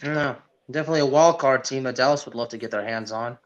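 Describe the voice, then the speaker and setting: A man co-hosting a sports podcast in casual chat, haltingly repeating words as he goes. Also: mid-range voice, highs cut off.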